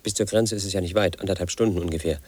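A man's voice: low-pitched, fairly even intonation, racing, heavy background noise, natural voice. An actor playing a confused, paranoid man in his late thirties, telling a rambling radio play monologue. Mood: agitated. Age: middle-aged. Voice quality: gravelly, husky. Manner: rambling, confiding, sinks to a whisper. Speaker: lead actor.

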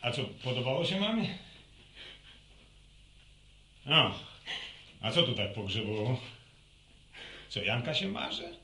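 An actor playing a resentful, sardonic young farmer son, grumbling and flaring up in radio drama style. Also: male voice, mid-range voice, very animated, measured pace, pauses often, sharp tone. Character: hard-working, resentful farmer son, gruff and sarcastic. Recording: roomy, faintly noisy radio recording.

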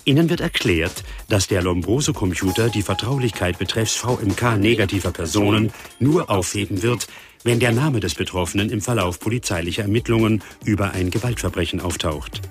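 An actor playing a formal, matter-of-fact narrator reading a scientific report in a radio drama. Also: male voice, fairly low pitch, a little fast, soft background music, lightly acted. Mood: sober, factual. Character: sober, factual male narrator of a scientific report.

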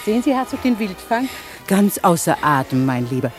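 A woman's voice: medium pitch, big pitch swings, normal speed, natural voice. Gushing, flirtatious society lady, played by an actress. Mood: playfully gushing. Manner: affected, exclamatory, playfully teasing. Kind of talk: 1950s German radio play acting. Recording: 1950s radio recording with music.

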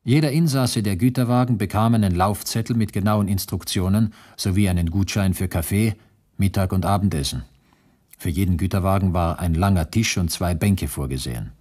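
An actor playing a sober camp survivor who recounts his ordeal in precise, measured first-person narration for a radio drama. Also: low voice, darkish tone. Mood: sober, factual.

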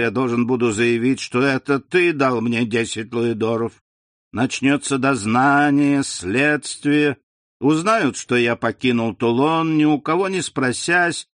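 A man reading an audiobook, voicing a scheming aging male innkeeper with a mocking, drawn-out manner. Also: medium pitch, a bit bright, no room sound, studio quality.

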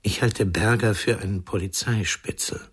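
A shady informer in a radio crime play. His lowish voice is extremely breathy and rough. He insinuates rather than states, keeping control with quiet menace. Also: fairly even intonation, moderate pace. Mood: insinuating. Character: shady, insinuating informer, a man pursuing his own interests. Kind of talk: German radio crime drama dialogue.

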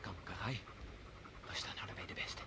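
A young actor playing an eager young nobleman on a wolf hunt, excitable and swinging from hushed to shouting, in a hissy vintage radio play. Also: normal speed, lightly acted. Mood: hushed hopeful. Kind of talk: vintage radio drama acting.

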